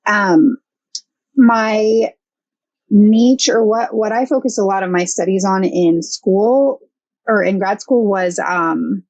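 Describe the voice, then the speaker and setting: Brief: young adult woman, guest counselor, halting and thoughtful, dry mic. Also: medium pitch, darkish tone, dry recording, dull audio. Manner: thoughtful, hesitant with many fillers. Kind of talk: casual podcast interview.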